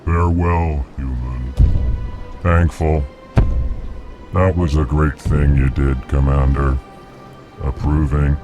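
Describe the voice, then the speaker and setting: An actor playing a formal alien man who speaks slowly and states his feelings as flat labels before each line, with game music behind. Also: rumbling low. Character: slow deep alien man, formal and emotionless.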